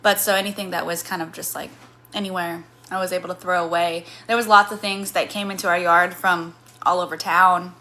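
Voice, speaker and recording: A woman's voice: lowish voice, steady tone, fairly quick, sharp tone, a little hiss or hum. A woman in her thirties from Missouri with a slight Missouri accent, chatting casually on a livestream and answering chat comments in a rambling way. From Missouri, USA.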